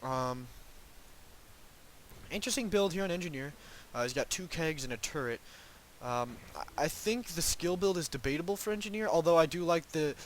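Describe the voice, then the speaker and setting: A man's voice: on the high side, slight background noise. A young man with a cold, sounding congested, casting a video game match alone, rambling and hedging with many ums and restarts. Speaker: game caster. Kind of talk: solo play-by-play esports commentary.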